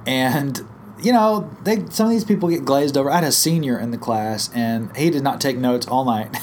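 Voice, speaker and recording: A man with a hoarse, croaky voice from a head cold, explaining a teaching idea in a relaxed podcast chat, thinking aloud with fillers and restarts. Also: medium pitch, moderate pace, a little hiss or hum. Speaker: guest teacher on small group ministry.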